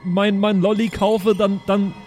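A man's voice: high-pitched, little pitch movement, a little slow, darkish tone, slight background noise. A man playing a cocky young spaceship crewman in an improvised audio play, slangy, with a verbal tic tagged onto sentences. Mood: cheeky, slangy.

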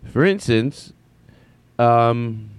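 A man's voice: medium pitch, animated, very slow, clean tone, no room sound. Rambling male comedy podcast host, dry mic. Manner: rambling, self-correcting, jumps between thoughts. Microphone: headphones on, studio mic.

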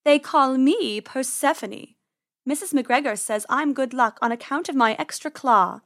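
A woman voicing a sly, menacing female cat who speaks in a slow purr. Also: high-pitched. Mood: smug. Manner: slow, purring.

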